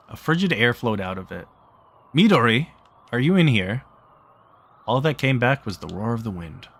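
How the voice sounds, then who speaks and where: A man with a fairly low voice reads a story game aloud as a streamer. His delivery is plain and conversational, slipping between narration, dialogue and casual chat. The sound is dry and close, with very little room. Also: a bit bright, dry recording. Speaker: streamer reading a visual novel aloud.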